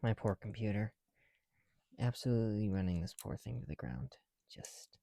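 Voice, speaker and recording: Mellow, tired man doing soft-spoken ASMR. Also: on the low side, expressive, moderate pace, vocal fry, no echo. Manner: hushed, musing to self while counting pieces. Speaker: ASMR video creator. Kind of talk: soft-spoken ASMR, casual chat while building.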